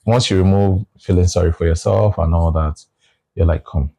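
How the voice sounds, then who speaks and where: A Nigerian man talking through his views in a relaxed conversation, often restarting his phrases. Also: low-pitched, normal speed, creaky. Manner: reflective, restarts phrases, explains with examples. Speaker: guest. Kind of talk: casual conversation.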